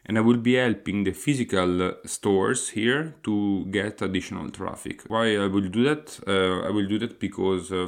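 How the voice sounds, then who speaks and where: Solo podcast host, Italian man with an Italian accent, lower-pitched, sincere and hesitant delivery. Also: a bit bright. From Italy. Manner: earnest, explanatory, with frequent hesitant fillers.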